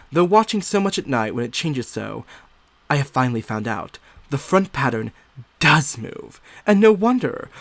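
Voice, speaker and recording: A man playing an unsettled, secretive nineteenth-century woman diarist growing obsessed, in a theatrical, confiding dramatic monologue with drawn-out emphasis. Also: medium pitch, vocal fry. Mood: intense, fascinated. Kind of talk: dramatic first-person monologue, audio theatre.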